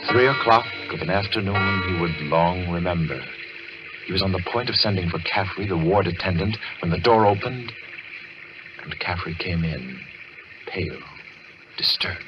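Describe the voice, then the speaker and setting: An actor playing a solemn, ominous storyteller, narrating a radio suspense tale in a dramatic, deliberate manner. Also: male voice, sits low, lightly acted. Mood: grave, suspenseful. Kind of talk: old-time radio scripted narration. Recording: muffled vintage radio recording with music.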